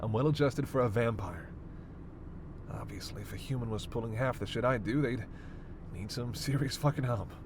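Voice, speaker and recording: A man's voice: medium pitch, normal speed, noisy background, natural voice. A man playing a sarcastic, teasing immortal vampire passenger in a roleplay audio drama, needling and foul-mouthed with theatrical exasperation. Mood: smug, defensive.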